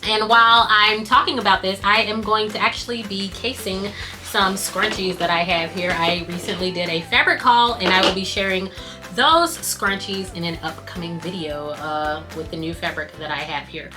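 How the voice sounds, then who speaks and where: A woman's voice: mid-range voice, steady tone, moderate pace, music in the background. A woman hosting her own small-business vlog in a casual, chatty way, reading tips from cue cards while she works, her voice fading. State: losing her voice. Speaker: scrunchie business owner and channel host.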